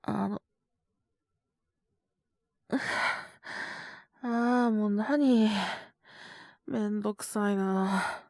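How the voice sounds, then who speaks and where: Woman doing sick tired young guy teacher, slow sighy muttering, blunt but caring, dry close audio drama sound. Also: medium pitch, steady tone, vocal fry, a little mellow, no echo. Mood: curt, weary. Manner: weary, brusque, sighing, drawn-out muttering. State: feverish, coughing. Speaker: voice actor. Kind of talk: Japanese situation-voice audio drama.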